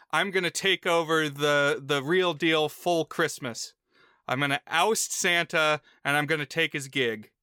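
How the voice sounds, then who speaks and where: A man's voice: a little high, pushed and bright, smooth, no room sound, clean studio sound. An American man co-hosting a casual film discussion in a wry, articulate, explanatory way. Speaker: podcast co-host.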